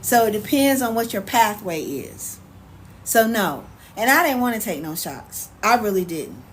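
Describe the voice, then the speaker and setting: An American woman chatting casually on a livestream as host, answering viewers in an animated, sassy, colloquial way. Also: medium pitch, a little slow. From United States. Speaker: live stream host. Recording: clear background noise, ambient sound.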